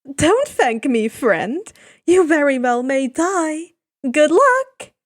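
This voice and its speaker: A woman's voice: sits high, sing-song, takes it slowly, voice pushed up, exaggerated voice. A woman voicing a coy, slightly unhinged male trickster god with a chirpy, sing-song manner in a fan fiction reading. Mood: breezy, chirpy.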